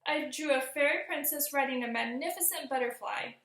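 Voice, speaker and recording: A woman with a high, creaky voice reads a picture book aloud to young children. The delivery is expressive storytelling, directed at the listeners. The room adds a noticeable echo. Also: brisk. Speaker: teacher reading aloud. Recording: roomy echo.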